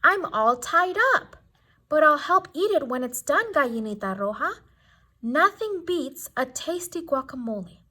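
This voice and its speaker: A woman's voice: high voice, animated, measured pace, bright, forceful tone, heavily acted. A woman voicing a lazy, greedy snake in a storybook, hissing out excuses. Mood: breezy refusal.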